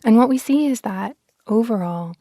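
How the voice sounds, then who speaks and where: A woman, a podcast guest explaining science in an expressive, articulate, conversational way. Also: a little high, moderate pace, no echo, clean studio sound.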